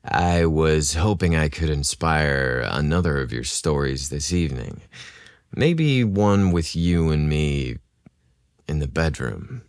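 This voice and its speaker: A man voicing a smooth, seductive, intense male barista in love, in a romantic audio storybook. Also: extremely low pitch, animated, slow, dry recording, studio quality, exaggerated voice.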